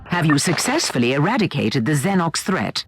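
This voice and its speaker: A measured learned tech-priest. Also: male voice, a little high, big pitch swings, fairly bright tone, soft background music. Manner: measured, explanatory. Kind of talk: video game cutscene voice acting.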